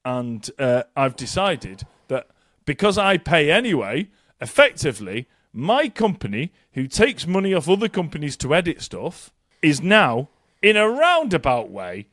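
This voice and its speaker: Sweary, jokey man with lively pitch. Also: unhurried, no echo, studio quality. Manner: sweary, jokey, rambling announcements. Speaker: podcast co-host. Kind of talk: casual chat.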